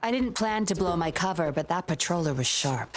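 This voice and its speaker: A woman's voice: on the low side, animated, natural voice. Woman voicing a cool secretive female investigator. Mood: explaining. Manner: measured, cool and guarded. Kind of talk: video game voice acting. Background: game music.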